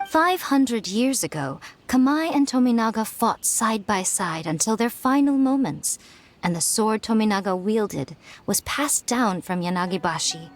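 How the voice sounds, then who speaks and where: A woman voicing a wise, playful, centuries-old fox spirit lady in an anime game, teasing and measured in manner. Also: mid-range voice, expressive, lightly acted. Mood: solemn, wise.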